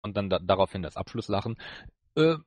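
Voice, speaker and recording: A man with a slightly low voice co-hosts a podcast. He explains and reviews in a casual, chatty way, recorded dry with no room sound. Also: expressive, a little fast, a little mellow, no echo. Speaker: podcast co-host.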